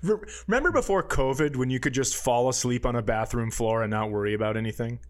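A man's voice: medium pitch, fast. A man co-hosting a comedy podcast, reacting with wry interjections in casual banter.

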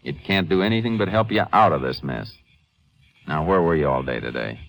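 An American man playing a calm, methodical Texas Ranger in a 1950s radio western, laconic, firm and matter-of-fact. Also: very deep voice, a little fast, gravelly, full character voice. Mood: firm, probing. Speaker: lead actor in a radio drama. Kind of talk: 1950s radio western crime acting with first-person narration. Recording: vintage 1950s radio recording.

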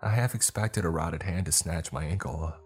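A man's voice: deep voice, normal speed, soft background music, close to an everyday voice. A man voicing a jittery woman who is lost in a graveyard at night, nervous and apologetic. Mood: anxious.

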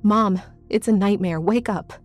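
Woman acting an anxious, forceful protective daughter. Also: on the low side, lively intonation, a little slow, breathy edge, soft background music, full character voice. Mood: urgent. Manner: urgent, forceful, exasperated. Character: Fran, anxious headstrong daughter, fiercely protective.

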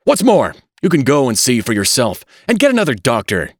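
Guy doing grumpy old doctor in an audiobook, snappy, scolding, sharp tongued. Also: low-pitched, expressive, unhurried, no room sound, studio quality, full character voice. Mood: indignant, cutting. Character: irritable, cutting old male family doctor. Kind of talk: audiobook character acting.

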